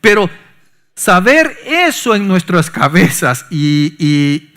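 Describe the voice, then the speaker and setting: A middle-aged pastor, preaching a sermon that swings from forceful emphasis to soft, low asides. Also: male voice, sing-song, slow pace. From Honduras. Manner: preaching with sudden soft drops and rising emphasis.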